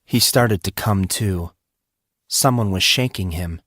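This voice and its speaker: A male audiobook narrator with an intense, deliberate delivery. He reads dramatic fiction slowly in a dry, echo-free recording. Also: low voice, fairly bright tone.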